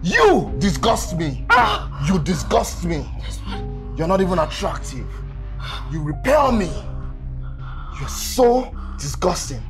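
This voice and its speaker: A man's voice: fairly high pitch, very animated, moderate pace, music in the background. A man playing a cruel, hot-tempered young businessman and abusive husband in a film drama. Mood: menacing rage. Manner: contemptuous, repeats phrases mockingly, menacing.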